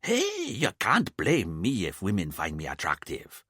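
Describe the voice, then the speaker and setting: A man voicing a cocky young officer, easygoing and boastful, sliding from low and lazy to high and showy. The sound is dry and close. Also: wildly swooping pitch, a little slow, fairly bright tone, no room sound, heavily acted. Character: laid-back, cocky young male police officer.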